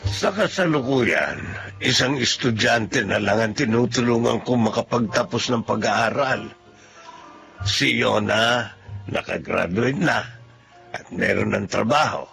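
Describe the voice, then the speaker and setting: A man playing a kindly, humble old benefactor and father in a Filipino radio drama, speaking gently and earnestly. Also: medium pitch, lively intonation, unhurried, creaky. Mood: reflective, heartfelt. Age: older. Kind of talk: Filipino radio drama acting with narrated monologue. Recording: music behind the voice.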